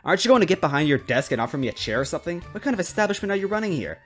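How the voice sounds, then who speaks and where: A man voicing a huffy, demanding young woman, pitched up. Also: big pitch swings, racing, faint music behind. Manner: scornful, blunt, demanding. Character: brash, huffy, impatient rich young woman client.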